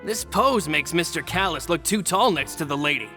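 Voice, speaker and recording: A man's voice: fairly high pitch, lively intonation, moderate pace, pushed and bright, soft background music. An actor playing a loyal, easygoing right-hand man of a guild boss in a game cutscene. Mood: dry. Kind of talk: video game cutscene dub.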